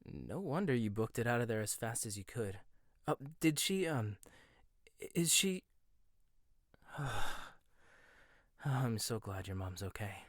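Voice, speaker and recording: A man performing a gentle, intimate ASMR roleplay monologue, hesitant at times and teasing at others. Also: mid-range voice, animated, no room sound, studio quality.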